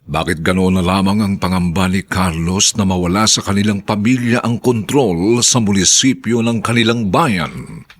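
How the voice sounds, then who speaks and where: A man with a Filipino accent narrating a radio drama as its announcer, in a dramatic, deliberate scripted delivery. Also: sits low.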